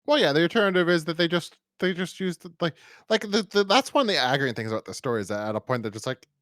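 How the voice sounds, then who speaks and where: A man's voice: a little high, expressive, fairly bright tone, no echo, clean studio sound. A man co-hosting a podcast, chatting casually about an audio drama in a rambling way, often restarting and repeating his words. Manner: rambling, halting with frequent false starts and repeated words. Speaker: podcast co-host.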